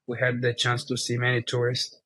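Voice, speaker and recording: Turkish-accented man speaking in a flat, even tone. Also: medium pitch, brisk, a little hiss or hum. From Turkey. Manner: explains plainly in non-native English. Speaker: guest, company CEO. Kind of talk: casual interview conversation.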